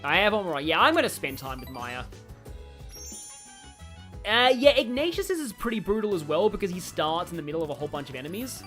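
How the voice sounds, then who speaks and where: Woman game streamer, lively swooping intonation, lowish voice, rambling opinionated chat, game music underneath. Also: a little fast. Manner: opinionated, thinking aloud, rambling. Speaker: live streamer playing a video game. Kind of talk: casual gaming commentary, chatting with viewers.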